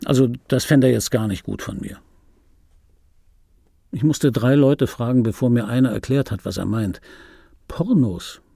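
Sardonic middle-aged storyteller, rough and husky, mellow, radio play monologue, close dry sound. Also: male voice, medium pitch, measured pace, pauses often, dry recording. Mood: agitated retelling, then indignant. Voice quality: rough, gravelly. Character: disgruntled, wry male film dubbing actor telling his life. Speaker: narrator and lead of a radio play.

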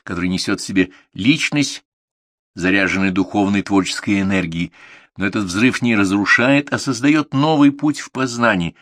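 Very deep-voiced man giving a measured lecture. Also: no echo, clean studio sound. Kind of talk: slow essay-like lecture reading.

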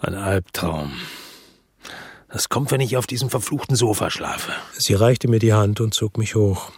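An actor playing a weary, brooding middle-aged narrator in a radio drama, speaking in a somber, measured, reflective way. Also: male voice, lowish voice, slightly rough, no echo. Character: weary, brooding middle-aged male first-person narrator, world-worn investigator.

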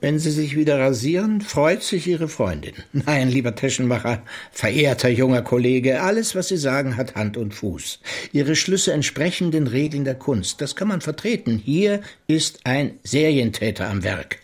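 An actor playing a middle-aged senior police inspector in a German radio crime drama, polite, measured and gently ironic. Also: male voice, mid-range voice, lively intonation, lightly acted. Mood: wry. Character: senior police inspector, courteous, wry and probing. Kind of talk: German radio play acting.

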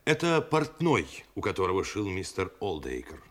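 An actor playing a deferential police sergeant in an audio drama, respectful and eager to report. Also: male voice, low-pitched, huge pitch swings, moderate pace, fairly bright tone, heavily acted. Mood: dutiful, obliging. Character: dutiful, deferential male English police sergeant. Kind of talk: Russian audio drama acting.

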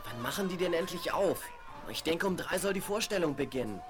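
A woman's voice: on the low side, expressive, fairly quick, music in the background, lightly acted. An actor playing an eager, lovestruck and easily flustered boy in a radio play. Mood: eager, defiant. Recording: 1970s vinyl radio play, crowd behind.

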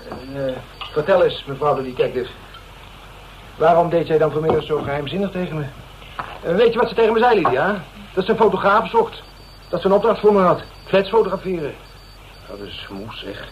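A man's voice: fairly high pitch, close to an everyday voice. An actor with a Netherlands Dutch accent playing a suspicious, touchy man in a radio drama, hesitant, colloquial and blustering. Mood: mocking, surprised. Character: suspicious, touchy man receiving late-night visitors. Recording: muffled, noisy radio recording.

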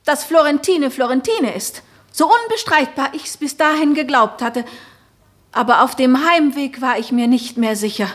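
A woman's voice: high-pitched, normal speed, fairly bright tone. An actress playing an anxious noblewoman in a 1960s radio drama, speaking in an intense, pleading, confiding way. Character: anxious noblewoman who believes she is someone else. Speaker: Florentine.

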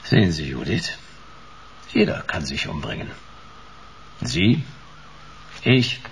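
A cynical private detective talks curtly in a radio drama. The low voice swings with lively intonation and dry sarcasm, over faint background noise. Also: male voice, slow, creaky, exaggerated voice. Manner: sardonic, curt, dry. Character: cynical, brusque male private detective in his office. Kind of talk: radio play dialogue.

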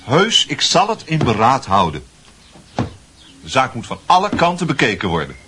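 A man's voice: medium pitch, wildly swooping pitch, normal speed, noisy background. A male actor in a Dutch radio drama playing an offhand, evasive young student. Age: young adult. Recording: music behind the dialogue.

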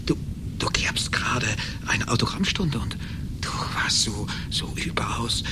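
An actor in a radio play playing a vengeful, obsessed genius inventor who speaks haltingly and theatrically. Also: male voice, mid-range voice, animated, in a whisper, natural voice. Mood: hushed, hateful. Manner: halting, theatrical, repeats words for emphasis.

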